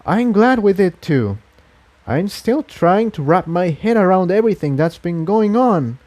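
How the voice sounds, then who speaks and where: Man voicing a high-pitched, anxious mare. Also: expressive, unhurried, a little mellow. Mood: sincere. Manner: earnest, fretful, pleading. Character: anxious, self-doubting female pony, sincere former leader.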